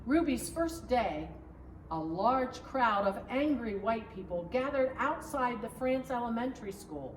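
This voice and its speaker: A woman reads a children's storybook aloud as narrator, phrase by phrase in a deliberate way. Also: fairly high pitch, expressive. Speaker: pastor reading a storybook. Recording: echoey room, distant mic, faint noise.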